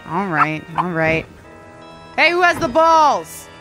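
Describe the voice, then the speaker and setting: A woman's voice: medium pitch, big pitch swings, slow, music in the background. Woman acting an offbeat, blunt young adventurer. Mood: wry. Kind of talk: audio drama acting.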